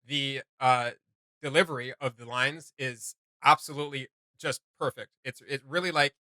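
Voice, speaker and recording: A man's voice: mid-range voice, steady tone, moderate pace, bright, forceful tone, no echo. A man hosting a reaction show, giving casual, rambling commentary with a jokey streak.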